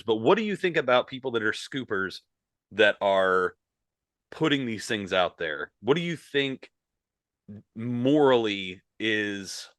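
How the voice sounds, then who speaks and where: Low-voiced man hosting a casual podcast. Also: fairly bright tone, no room sound, studio quality. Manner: loose, rambling, fillers. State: recovering from illness. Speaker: podcast co-host.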